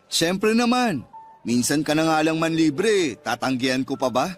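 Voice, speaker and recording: A man's voice: a little high, animated, measured pace, soft background music. A man playing a kind, easygoing Filipino suitor in a radio drama, friendly and conversational. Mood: cheerful, reassuring.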